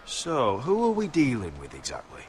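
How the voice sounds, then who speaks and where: Casting: proud young noble sellsword for a game, self-assured, strongly varied delivery. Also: male voice, medium pitch, huge pitch swings, normal speed, slight background noise. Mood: confident. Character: proud, confident highborn young mercenary man. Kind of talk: video game acting.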